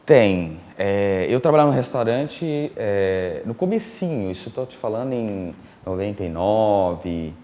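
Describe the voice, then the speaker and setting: A middle-aged man from Brazil chats as a guest on a TV interview show. His fairly low voice turns animated as he tells his stories, often breaking into laughter. His speech is loose and conversational, full of fillers and restarts. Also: unhurried, few pauses. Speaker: interview guest, filmmaker and producer. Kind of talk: casual storytelling in a TV interview.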